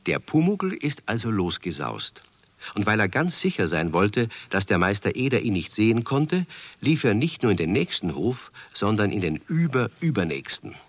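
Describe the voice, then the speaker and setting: A man narrating a scripted children's story as an expressive storyteller. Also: lowish voice, normal speed.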